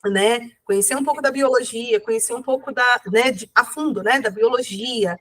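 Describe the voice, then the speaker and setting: High-voiced rambling woman. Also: moderate pace, fairly bright tone. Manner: rambling, many fillers and self-corrections. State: has a cold. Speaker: interviewee. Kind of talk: casual interview talk.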